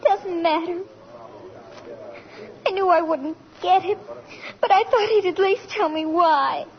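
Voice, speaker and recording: A performer in a 1940s radio play voicing an earnest little girl, high and childlike, on a dull old broadcast recording. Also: slow, big-room sound. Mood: wistful. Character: earnest little girl Susan, skeptical then hopeful.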